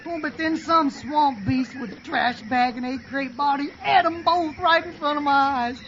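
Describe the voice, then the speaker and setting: An actor playing a hapless, lovesick, henpecked rural grocer with a Southern US accent in a campy radio parody. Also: male voice, very high voice, normal speed, noisy background. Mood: mournful. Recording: dull lo-fi, music underneath.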